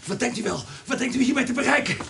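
An actor playing an indignant, easily panicked prison jailer in a radio drama, with a strained, creaky voice. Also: male voice, extremely high pitch, lively intonation, quick pace, over music, full character voice. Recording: clear background noise.

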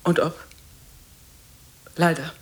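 A bitter old lady in a radio play, really slow, reproachful, keeps repeating her complaints. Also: a little mellow. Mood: indignant, reproachful. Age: older. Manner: halting, repetitive, reproachful. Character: bitter, scolding old woman who wants solitude. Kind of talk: radio drama acting.

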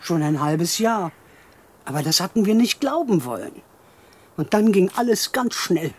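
An actress playing a plain-spoken, resigned elderly widow in a radio drama. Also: female voice, fairly low pitch, sing-song, normal speed, darkish tone, husky. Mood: resigned, plaintive. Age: older.

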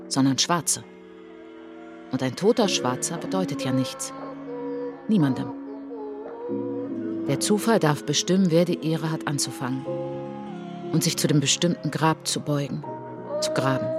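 A woman performing a solemn narrator in a literary radio drama, speaking in measured, dramatic short phrases. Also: sits low. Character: solemn woman narrator recounting colonial atrocities as a traveller. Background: prominent music behind the voice.

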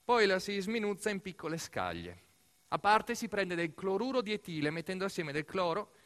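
An Italian man performs a theatrical monologue for radio theatre in a slightly high-pitched voice. He tells it expressively and playfully, leaning on key words with dramatic emphasis and leaving deliberate pauses. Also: fairly bright tone. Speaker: stage actor-narrator.

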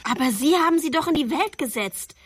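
Doubtful woman in radio play, highish husky voice, reproachful, dry studio sound. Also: lively intonation, fairly quick, dry recording. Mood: challenging. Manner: questioning, reproachful. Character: incredulous, challenging female visitor. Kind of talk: German radio drama acting.

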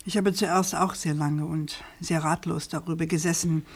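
A female investigative journalist with a fairly low voice. She is composed yet insistent, probing in clear sentences as in a radio crime play. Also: steady tone, normal speed. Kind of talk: radio crime drama dialogue.

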